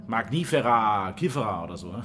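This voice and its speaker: A man's voice: on the low side, animated, a little fast, background noise, lightly acted. Man playing a folksy, teasing scientist. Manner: chatty, folksy, teasing, tag questions. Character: jovial, quirky, teasing scientist, an informal fruit researcher. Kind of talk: German radio drama acting.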